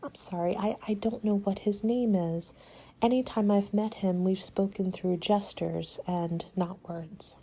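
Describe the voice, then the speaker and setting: A woman playing a courteous, helpful party planner in a soft-spoken ASMR roleplay, with a measured, polite, advisory manner and soft, breathy delivery. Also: medium pitch, fairly even intonation. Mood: hushed, polite.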